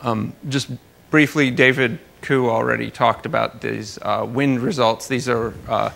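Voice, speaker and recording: A man giving an academic conference talk as a researcher, halting and rephrasing as he explains his slides. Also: medium pitch, fairly even intonation, creaky, fairly bright tone. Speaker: astrophysics researcher presenting at a conference.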